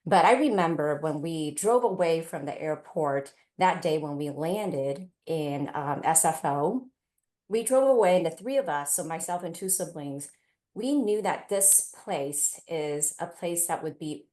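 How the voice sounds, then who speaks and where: A woman's voice: low-pitched, unhurried, darkish tone. A middle-aged woman from Taiwan and California telling personal stories as a parent on a panel. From Taiwan, then California from age eight. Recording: roomy, echoey event space.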